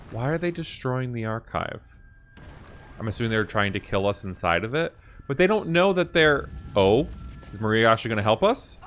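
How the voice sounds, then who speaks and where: A man's voice: medium pitch, a bit bright. A man hosting a gaming reaction, commenting casually and thinking aloud in questions as he watches.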